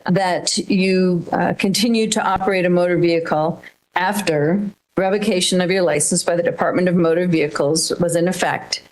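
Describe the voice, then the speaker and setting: Woman, judge, courtroom ruling, firm, patient, repeats words, lowish voice. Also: steady tone, normal speed, poor audio.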